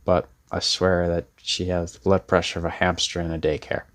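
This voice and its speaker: Casting: male actor for a deep-voiced, laid-back young male rebel, self-deprecating and wry, rambling delivery, roleplay audio drama. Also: little pitch movement, moderate pace, a bit bright. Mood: teasing, rambling. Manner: rambling, wry, sardonic, casual.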